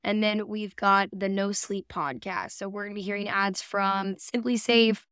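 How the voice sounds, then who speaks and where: Female host, mid pitch, clean resonant tone, relaxed conversational hosting style. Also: normal speed, smooth, no echo, clean studio sound. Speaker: podcast host. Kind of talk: casual chat, guiding discussion.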